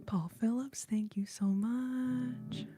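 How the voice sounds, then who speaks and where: A woman who sings on a live stream, whispering softly and playfully as she chats with her viewers. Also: fairly high pitch, fairly even intonation, takes it slowly, over music.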